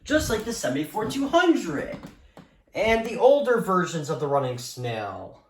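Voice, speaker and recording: A man's voice: high-pitched, very animated, unhurried. A man casually showing off a gadget on a vlog, unscripted, hesitant and repetitive. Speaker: weather radio hobbyist vlogger. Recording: echoey room with background hiss.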